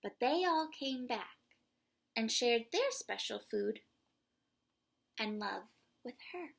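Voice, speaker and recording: A woman with a fairly high voice speaks as a storyteller for young children. Her tone is breathy and often drops into a crackly fry. She is expressive and emphatic, explaining things in simple terms. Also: takes it slowly. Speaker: children's story reader and host. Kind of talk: animated read-aloud for young children.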